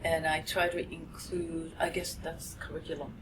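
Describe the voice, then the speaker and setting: A woman's voice: low voice, little pitch movement, normal speed. A woman whose first language is Japanese talks in a chatty, easygoing way, laughing often. She introduces herself as a counselor and language instructor. Faint background noise runs under her voice. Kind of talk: casual self-introduction.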